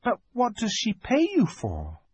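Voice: mid-range voice, huge pitch swings, a little slow, a little mellow, husky, no room sound, pitched above the performer's own voice, exaggerated voice. A reader doing an earnest, pleading little girl. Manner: pleading, distressed. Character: kind, earnest young girl. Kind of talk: audiobook character voice.

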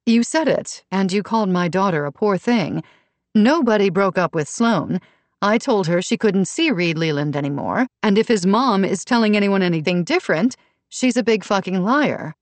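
Woman acting out a sharp, sardonic mother. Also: mid-range voice, lively intonation, moderate pace, dry recording, pitched above the performer's own voice, close to an everyday voice. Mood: angry. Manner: blunt, confrontational. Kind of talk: audiobook character dialogue.

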